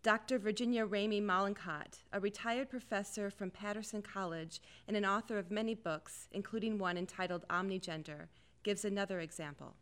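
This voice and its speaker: Smooth-voiced woman introducing speakers. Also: mid-range voice, brisk, fairly bright tone. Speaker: narrator. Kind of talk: scripted documentary narration.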